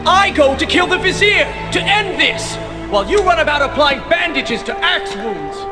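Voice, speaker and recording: Brief: a determined, impatient young game prince, high-set voice, loud score underneath. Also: male voice, sing-song, moderate pace. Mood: grim resolve. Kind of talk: dramatic video game voice acting. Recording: loud music over dialogue.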